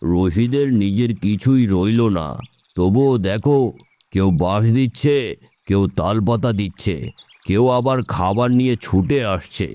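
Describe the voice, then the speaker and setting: A man playing an earnest, seasoned village boatman, moralizing in an animated story. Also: lowish voice, measured pace, no echo, natural voice. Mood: earnest, reflective.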